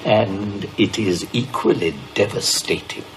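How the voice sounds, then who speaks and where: An actor playing a grave, pompous occultist who speaks with fervent, menacing emphasis in a radio drama. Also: male voice, on the low side, noisy background, close to an everyday voice. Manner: slow, portentous, emphatic. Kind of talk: radio drama acting, on-air debate.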